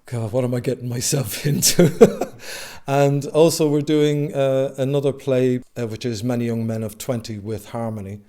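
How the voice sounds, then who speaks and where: A man's voice: mid-range voice, fairly even intonation, normal speed, dark tone. A man with an Irish accent talking casually and a little hesitantly as an interviewee. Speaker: amateur actor, interviewee.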